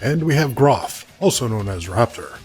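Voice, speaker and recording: A man playing a slangy, joking fight commentator who banters his way through an animated match broadcast. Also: medium pitch, normal speed, soft background music, close to an everyday voice. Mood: bantering. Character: hip-talking, joking male fight commentator. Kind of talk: sports commentary in animated show.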